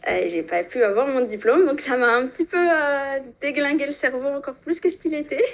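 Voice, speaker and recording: A woman's voice: high voice, a little fast. Hesitant young woman on a muffled phone line. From Algeria. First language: French. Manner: hesitant, many fillers and restarts. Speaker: caller. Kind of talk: phone call into a live stream.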